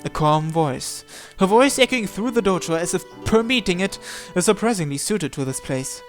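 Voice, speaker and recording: A man with a fairly high voice reads a visual novel aloud. He delivers it as dramatic, scripted first-person narration. Faint music runs behind the voice. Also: expressive, moderate pace. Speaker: channel host reading visual novel text aloud.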